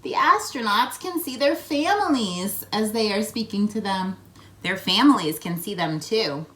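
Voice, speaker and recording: A woman reading a children's picture book aloud in a playful, expressive way, prompting young listeners with questions. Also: mid-range voice, measured pace. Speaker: children's read-aloud host.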